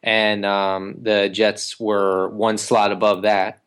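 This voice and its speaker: A man co-hosting a casual sports podcast, talking in a halting way full of ums and uhs, with dry jokes. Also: lowish voice, little pitch movement, a bit bright, smooth. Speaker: podcast co-host.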